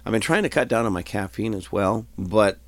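A man hosting his own podcast, nasal and stuffed up from a cold, talking in a rambling, folksy way and often clearing his throat. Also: lowish voice, lively intonation, brisk, dry recording. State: congested, nasal. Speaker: podcast host. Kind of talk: casual solo podcast talk.

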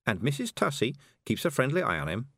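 A man voicing a middle-aged woman witch who speaks slowly, calmly and patiently. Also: medium pitch, creaky, no room sound, clean studio sound, pitched above the performer's own voice, full character voice. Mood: measured. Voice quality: slow, measured, patient.

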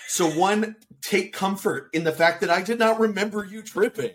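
A man's voice: high voice, moderate pace. A man in casual podcast chat, talking in a meandering, reflective way.

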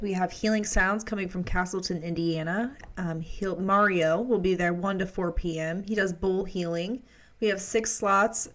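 A woman hosting a podcast, reading out announcements from notes. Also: lowish voice. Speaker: podcast host.

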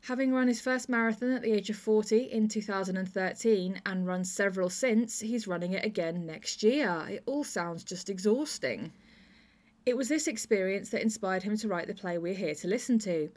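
A woman's voice: mid-range voice, normal speed, darkish tone. A woman hosting a drama podcast, presenting a scripted introduction in a conversational way. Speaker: podcast host introducing audio plays.

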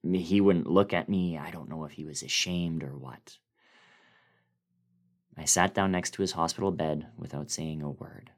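A man narrating a first-person horror story as a dramatic reading, with theatrical, expressive delivery. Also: rumbling low, normal speed.

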